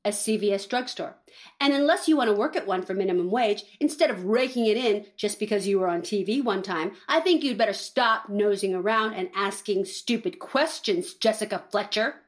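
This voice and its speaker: A woman's voice: medium pitch, close to an everyday voice. A woman playing a callous, cynical reality-show producer with a sardonic manner in a campy comedic audio drama. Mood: dismissive, threatening. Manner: sardonic, brisk, shady.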